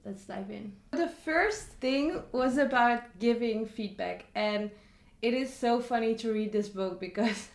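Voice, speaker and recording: A woman's voice: on the high side, measured pace. A young woman, chatting casually on camera about a book she read and adding many asides. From Netherlands. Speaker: vlogger. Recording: echoey room.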